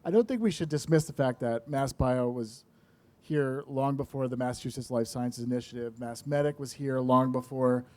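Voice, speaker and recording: A middle-aged man speaks on a conference panel at a middle pitch. He is measured and dryly funny. He pauses on fillers between points. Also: darkish tone. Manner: dry humor, measured, with fillers. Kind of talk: panel discussion.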